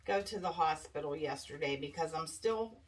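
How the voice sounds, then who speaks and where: A woman's voice: sits low, moderate pace. A woman with a stuffy, congested nose chatting casually and anxiously to her viewers while making a wreath. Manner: chatty, worried, addresses viewers as guys. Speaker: craft vlogger. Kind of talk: casual chat while crafting. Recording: distant mic with faint background noise.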